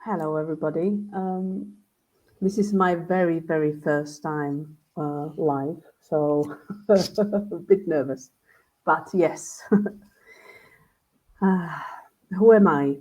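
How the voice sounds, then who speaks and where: A woman speaks slowly and tentatively, with a fairly low voice. She pauses often on fillers and feels her way through each thought in relaxed conversation. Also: rough recording. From Slovakia. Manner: hesitant, many ums, nervous laughs. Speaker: guest, end of life doula facilitator. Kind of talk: casual conversation.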